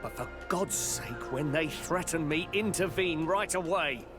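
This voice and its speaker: Anxious shopkeeper played by a man, slightly high-voiced. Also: a little slow, loud music behind the voice, natural voice. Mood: worried. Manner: anxious, hesitant, deferential. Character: frightened, deferential male shopkeeper, earnest and grateful. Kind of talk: video game voice acting.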